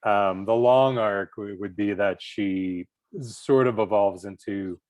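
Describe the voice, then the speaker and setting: Man, lowish pitch, conversational, no room sound. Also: slow pace, darkish tone, no room sound. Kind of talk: casual group chat.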